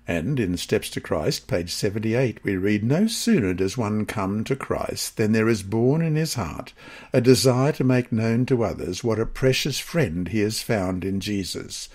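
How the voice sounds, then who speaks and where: A man with a husky voice reads a scripted devotional lesson aloud in a measured, careful manner. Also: lowish voice, expressive, a little mellow. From Australia. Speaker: lesson reader.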